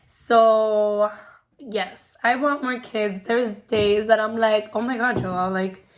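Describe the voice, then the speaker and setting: A woman in her twenties from New York, chatting casually in a couple's Q&A vlog, quick and laughing easily. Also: mid-range voice, fairly even intonation, slight background noise. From New York, USA. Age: 20s. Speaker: vlogger, preschool teacher.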